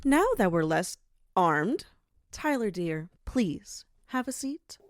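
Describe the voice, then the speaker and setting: A woman's voice: medium pitch, sing-song, slow, very noisy. A woman playing a courteous but commanding underworld matriarch in an audio drama, stately and formal. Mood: composed, businesslike.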